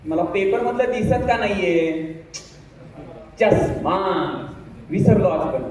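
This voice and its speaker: A man's voice: sits high, slow pace, darkish tone. A man playing a cheerful, absent-minded husband in a stage comedy, chatty and theatrical. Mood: chatty, puzzled. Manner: chatty, theatrical, addresses the audience. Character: cheerful, absent-minded middle-class husband, elder son. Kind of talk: Marathi amateur stage comedy acting. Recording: echoey stage, distant mic, noisy.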